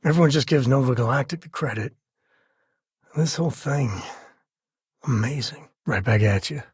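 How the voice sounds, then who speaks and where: An actor voicing a gruff, wry spacefaring cowboy father in a video game, with a husky, raspy voice and folksy, informal delivery. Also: mid-range voice, normal speed, darkish tone, no echo, studio quality. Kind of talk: video game character voice lines.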